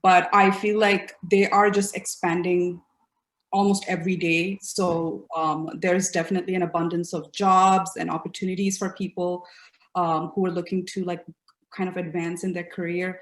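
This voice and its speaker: A woman's voice: on the low side, flat delivery, normal speed. Upbeat woman in her 30s from the uae, guest on an interview, lots of likes and ums, echoey room. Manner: chatty and upbeat, often trailing off with fillers. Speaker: interview guest, fashion blogger. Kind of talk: casual interview.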